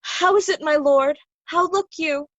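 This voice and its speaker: A cold, scornful noblewoman of Shakespearean tragedy, played by a woman. Her voice is high and recorded dry and close. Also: a little slow, fairly bright tone, no room sound, dull audio. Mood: concerned. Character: vicious, haughty noblewoman Regan, cold and scornful. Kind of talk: Shakespearean readers' theatre.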